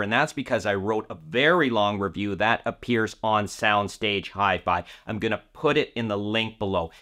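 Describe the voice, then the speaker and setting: A man hosting a review to camera, speaking in deliberate phrases with emphatic stresses on key words. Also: lowish voice, pushed and bright. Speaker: audio reviewer and video host. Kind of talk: review talk to camera, semi-scripted.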